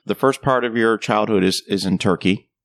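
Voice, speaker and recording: A man's voice: fairly low pitch, moderate pace, a bit bright, dry recording. A male podcast host asking curious, conversational questions in a casual interview.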